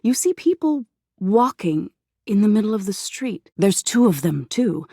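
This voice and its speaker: A woman playing an eerie, softly menacing embodiment of existential dread in a video game, narrating slowly in a hushed, breathy voice that slips into whispers. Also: mid-range voice, sing-song, dry recording, clean studio sound, exaggerated voice. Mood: ominous, hushed. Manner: slow hushed storytelling, lapsing into whispers.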